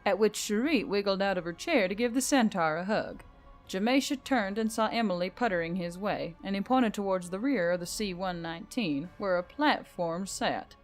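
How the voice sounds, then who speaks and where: A woman reading scripted fiction as a story narrator. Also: mid-range voice.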